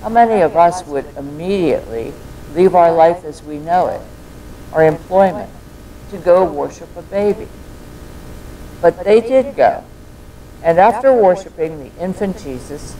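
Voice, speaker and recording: Older storyteller, dark mellow voice, scripted devotional narration, personal reminiscence, faint low rumble behind. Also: expressive, measured pace, poor audio.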